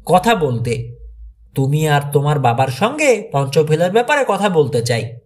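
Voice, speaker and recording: A man's voice: on the high side, big pitch swings, normal speed. A man voicing a menacing, scornful armed villain in an audiobook, with a taunting, threatening manner. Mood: taunting menace.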